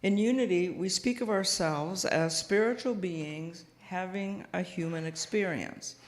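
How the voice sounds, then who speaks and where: A soft-spoken woman minister giving a gentle, thoughtful sermon, pausing often with small fillers, speaking into a microphone in a church sanctuary. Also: fairly low pitch. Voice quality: quiet voice. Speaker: guest minister. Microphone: house sound system microphone. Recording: long hall reverb.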